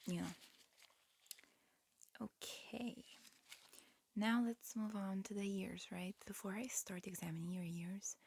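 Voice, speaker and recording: Slow whispering woman, ASMR roleplay. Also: lowish voice, a little hiss or hum. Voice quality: breathy, mostly whispered. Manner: gentle, unhurried, murmured clinical patter with pauses. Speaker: ASMR roleplay performer playing an alien medical examiner.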